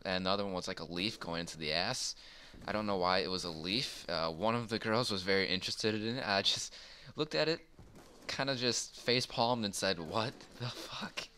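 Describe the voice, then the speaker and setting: Young man with a bright, forceful voice. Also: on the low side. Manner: amused, chuckling, informal and crude. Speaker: storyteller in a gaming video. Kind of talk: casual rambling personal storytelling. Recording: faint background noise.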